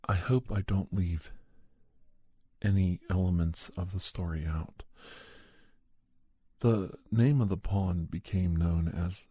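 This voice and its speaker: Casting: male actor as calm doctor narrating a horror audio story, very slow and deliberate, husky, close dry sound. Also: low-pitched, no room sound, heavily acted. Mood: measured, reflective. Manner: measured, halting, deliberate. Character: calm doctor recounting a monster hunt.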